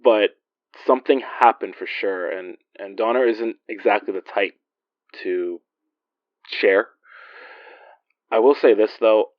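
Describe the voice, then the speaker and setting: Actor playing a low-voiced, wry, weary investigator. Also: male voice, unhurried, pauses often, no room sound, muffled recording, natural voice. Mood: defensive, wry. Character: weary male investigator, wry, guarded, loyal friend. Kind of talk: naturalistic audio drama acting.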